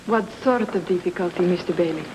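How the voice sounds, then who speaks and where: An actress playing a poised, coolly flirtatious Latin American wife in a noir radio drama, speaking formally. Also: female voice, lowish voice, background noise, natural voice. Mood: cool intrigue. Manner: formal, measured.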